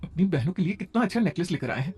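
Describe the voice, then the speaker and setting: A woman voicing a jealous, sharp-tongued sister-in-law in a cartoon moral story, with a shrill, complaining manner. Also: animated, very fast, natural voice. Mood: scheming, jealous.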